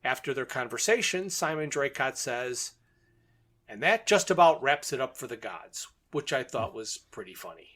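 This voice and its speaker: A man's voice: mid-range voice, expressive, moderate pace, pushed and bright. A man co-hosting a podcast, recapping and commenting on a story in an informal, orderly way. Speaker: podcast co-host. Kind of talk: plot recap in casual podcast talk.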